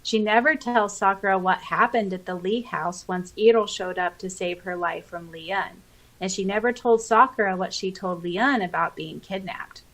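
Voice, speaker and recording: Expressive woman co-hosting a podcast. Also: medium pitch, normal speed, rough recording. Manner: expressive, giggly, interjecting exclamations. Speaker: podcast co-host. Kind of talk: reading listener comments aloud with chatty reactions.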